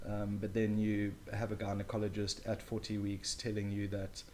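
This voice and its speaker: A man's voice: fairly low pitch, completely flat delivery, normal speed, a little mellow, a little hiss or hum. A young man speaking as an interview guest, earnest and emphatic, giving his opinions in a wordy, conversational way. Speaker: interview guest, new father.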